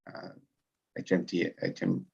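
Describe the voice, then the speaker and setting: A man's voice: a little slow, darkish tone. A man from Sri Lanka introducing himself as a student on a video call, speaking hesitantly with many filler sounds. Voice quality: gravelly, husky. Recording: dull lo-fi call audio.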